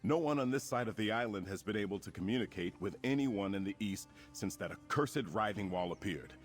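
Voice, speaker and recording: An actor playing a grave, resolute military leader in a fantasy game, speaking formally and earnestly with a commanding manner. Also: male voice, lowish voice, animated, brisk, close to an everyday voice. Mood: grave, informative. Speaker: quest-giving game character. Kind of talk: scripted fantasy game dialogue. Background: music.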